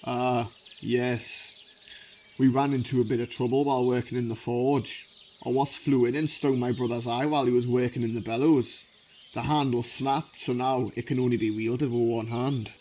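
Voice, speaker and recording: Man playing a sheepish dwarf smith, mid-pitched. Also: fairly even intonation, moderate pace, darkish tone, lightly acted. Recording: amateur recording with background noise.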